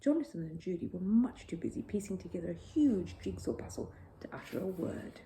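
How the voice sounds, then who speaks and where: A woman narrating a children's bedtime story in an expressive storytelling style. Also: fairly low pitch, brisk, vocal fry, a little hiss or hum.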